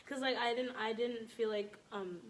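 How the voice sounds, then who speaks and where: A woman's voice: on the high side, steady tone, normal speed, slight background noise, rough recording. A young adult woman telling personal stories casually as a podcast guest, in a chatty, informal, giggly way.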